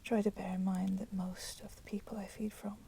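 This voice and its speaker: Proud vampire captor, played by a woman: soft breathy whisper, very measured and coolly menacing, dark-toned, ASMR roleplay over crackling fire. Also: mid-range voice, flat delivery, close to an everyday voice. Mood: coolly teasing. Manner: slow, deliberate, formal, menacing phrasing. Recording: constant crackling fire noise behind voice.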